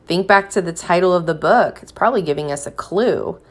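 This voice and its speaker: A woman reading a picture book aloud like a teacher, pausing to put questions to young listeners and encourage them. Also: on the low side. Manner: slow, deliberate, encouraging prompts.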